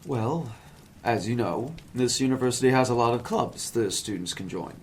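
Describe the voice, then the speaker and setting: A fussy, smooth-talking professor of an audio drama, played by a man, wordy and lecturing, who turns evasive with hesitant fillers. His voice sits at an ordinary middle pitch. A faint background noise hangs behind him. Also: expressive, moderate pace, close to an everyday voice. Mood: nervous. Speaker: psychology professor.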